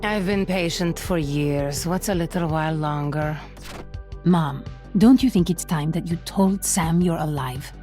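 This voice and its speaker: A young woman soldier from a video game, a dutiful and earnest daughter. Her voice is mellow and slightly low, calm and sincere, over faint music. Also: unhurried. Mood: hurt, patient.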